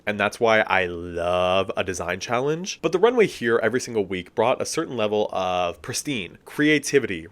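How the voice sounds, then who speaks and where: A man, giving a chatty, expressive review as casual commentary, full of asides and fillers. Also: fairly low pitch. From United States. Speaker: video reviewer.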